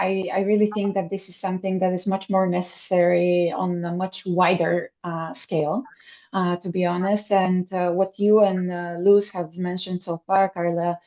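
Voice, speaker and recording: A woman's voice: mid-range voice, mellow, dull audio. A young woman from Bulgaria hosting a casual interview, talking enthusiastically with many fillers. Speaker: podcast host.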